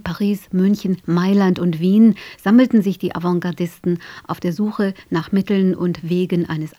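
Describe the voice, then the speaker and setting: Casting: female radio presenter, softly dark lowish voice, measured scripted narration. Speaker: radio presenter introducing an audio drama.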